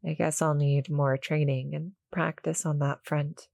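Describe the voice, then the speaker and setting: A woman playing a shy, gentle succubus cuddle monster in an intimate roleplay audio, speaking hesitantly, softly and politely to the listener. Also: deep voice, flat delivery, unhurried, vocal fry, no echo, studio quality. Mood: bashful, flattered. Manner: hesitant, soft-spoken, polite, apologetic.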